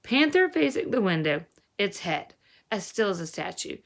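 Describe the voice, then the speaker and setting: A woman reading a children's novel aloud with expressive, emphatic storytelling and dramatic pauses. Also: mid-range voice, gravelly. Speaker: audiobook reader.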